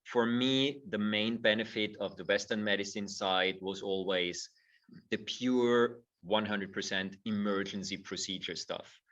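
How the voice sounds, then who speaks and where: Muffled distant man, bright tone. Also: fairly low pitch, measured pace. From Austria. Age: middle-aged. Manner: thoughtful, repeats words when starting phrases. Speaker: guest, movement teacher. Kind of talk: conversational interview.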